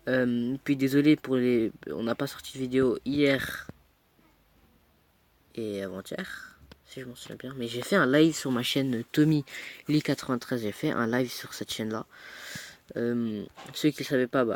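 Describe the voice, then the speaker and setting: A young man with a cold, casually commentating his own mobile game match, chatty and self-mocking, muttering as he plays. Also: mid-range voice. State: stuffy with a cold.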